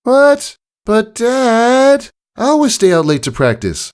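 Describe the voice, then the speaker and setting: Man voicing an eager young pony girl, high-pitched. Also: huge pitch swings, takes it slowly, full character voice. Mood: whiny protest. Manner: casual, whiny kid talk.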